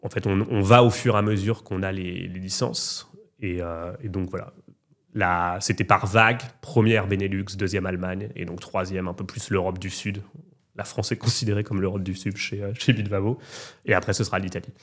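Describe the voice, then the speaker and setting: A man's voice: sits low, fairly even intonation, moderate pace. A man who represents a company in France, explaining its markets with confidence in a business interview.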